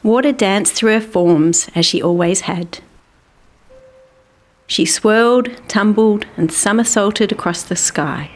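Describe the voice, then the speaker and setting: A woman's voice: mid-range voice, takes it slowly. A woman narrating a scripted children's story as its storyteller. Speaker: author and storyteller.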